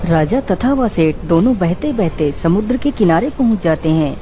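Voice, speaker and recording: A woman's voice: on the low side, expressive, moderate pace. A woman reading scripted storybook narration for a cartoon. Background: clear background music.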